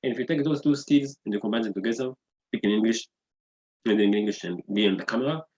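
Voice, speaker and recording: A man in his thirties whose first language is Hebrew, hosting his own video podcast with excitable, repetitive talk that keeps calling out to "guys". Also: lowish voice, fast talker, highs cut off. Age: 30s. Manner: excitable, repeats words and says "guys" often. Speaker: podcast host. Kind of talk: enthusiastic solo video podcast intro.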